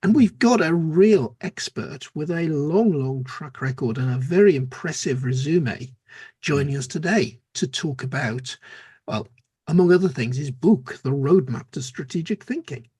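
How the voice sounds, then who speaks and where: Male co-host, really husky voice, bouncy pitch, dry close sound, upbeat. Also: expressive, unhurried, a little mellow, no room sound. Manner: upbeat, teasing. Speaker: podcast co-host. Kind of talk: casual podcast intro.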